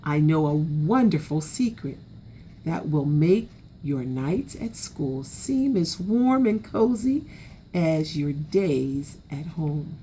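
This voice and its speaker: Woman as a mellow, soothing mother raccoon. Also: sits low, expressive, slow pace, a little hiss or hum. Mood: gentle, reassuring. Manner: gentle, soothing. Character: gentle, loving mother raccoon, reassuring and softly teasing.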